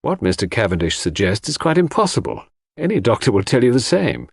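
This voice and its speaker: A man acts out an authoritative doctor in a mystery audiobook, scornful and formal. His emphatic testimony has strongly varied pitch and a close, dry sound. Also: very animated, a little fast, gravelly, husky, no echo, full character voice. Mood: dismissive, certain. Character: dismissive, authoritative male doctor giving expert testimony.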